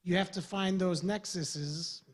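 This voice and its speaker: Husky American official on a panel. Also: male voice, high-pitched, steady tone, measured pace. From USA. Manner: earnest, rambling with restarts. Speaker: government development official. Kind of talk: panel discussion remarks.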